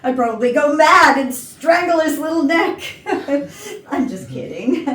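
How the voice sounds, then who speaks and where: A woman's voice: high-pitched, big pitch swings, a little slow, a bit bright, heavily acted. A woman playing a sweet, gushing, jealous wife in a staged comedy reading. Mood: dramatic, sweet. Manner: gushing, effusive. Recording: echoey rehearsal room from far off.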